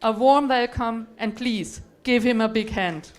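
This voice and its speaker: A woman's voice: fairly high pitch, unhurried, slight background noise. A woman from Europe moderating a university conference panel, formally introducing guests and reading out their biographies.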